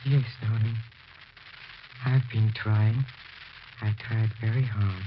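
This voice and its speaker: A man's voice: fairly low pitch, fairly even intonation, slow. An actor playing a grief-stricken, obsessed widower pleading melodramatically for his dead wife in a 1940s radio horror drama. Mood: entranced. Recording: muffled, noisy old radio broadcast.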